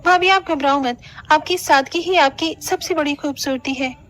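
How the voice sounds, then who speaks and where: A woman's voice: sits high, moderate pace, fairly bright tone. A woman playing a kind, loyal young sister-in-law in a family cartoon, speaking reassuringly. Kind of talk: Hindi cartoon family drama dialogue.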